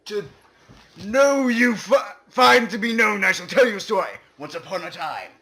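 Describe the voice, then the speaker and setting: A man giving a mock-dramatic comedic reading, swinging from hushed whispers to shouts and breaking in with silly asides. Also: high-pitched, animated, normal speed. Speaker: YouTuber.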